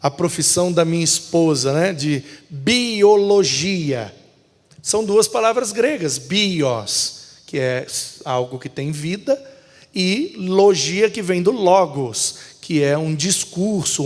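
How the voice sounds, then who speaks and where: A man's voice: high-pitched, lively intonation, takes it slowly. Man teaching a bible class in a reverberant room. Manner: explanatory, asks himself rhetorical questions. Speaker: pastor teaching a hermeneutics class.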